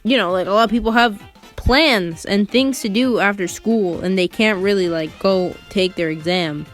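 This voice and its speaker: A woman's voice: mid-range voice, normal speed. A teenage girl telling a personal story in a casual, rambling, conversational way with lots of filler words. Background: background music.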